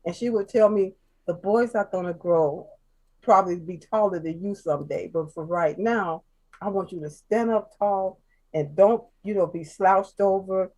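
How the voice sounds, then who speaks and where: A woman from Chicago, a guest telling her life story in casual chat, soft-spoken and halting, with many fillers and restarts. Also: mid-range voice, poor audio. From Chicago, USA.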